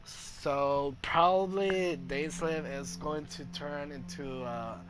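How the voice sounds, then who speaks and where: A man's voice: a little high, steady tone, takes it slowly. A man giving casual playthrough commentary, hesitant, thinking aloud and repeating himself. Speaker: gaming video host. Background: game music.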